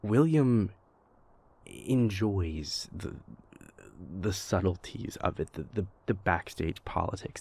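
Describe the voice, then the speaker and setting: A man plays an anxious vampire prince in an intimate audio roleplay, confiding in his lover. His voice sits low and husky, with a gravelly rasp. He speaks slowly and haltingly, stumbling over and repeating words as he doubts himself. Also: a little mellow, no echo. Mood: hesitant, wry.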